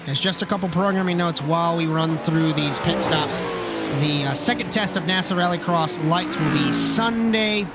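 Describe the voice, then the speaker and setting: A man with a slightly high, raspy voice, hoarse from a sinus infection. He calls a sim race play by play, chatty and loose, and often corrects himself mid-sentence. Loud music plays underneath him. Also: moderate pace. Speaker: lead commentator. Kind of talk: sim racing play-by-play commentary.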